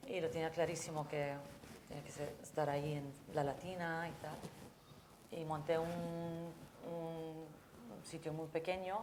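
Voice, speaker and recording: A woman chef in a casual panel chat, speaking hesitantly with many fillers and restarts. Her voice sits very low for a woman. Clear background noise runs behind her. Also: flat delivery, a little slow. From Abu Dhabi, of Palestinian-Syrian descent.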